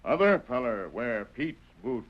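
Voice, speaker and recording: Tonto, slow-spoken tracker, halting broken English. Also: male voice, medium pitch, big pitch swings. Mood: terse, observant. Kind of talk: 1940s radio drama acting. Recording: noisy lo-fi old radio recording.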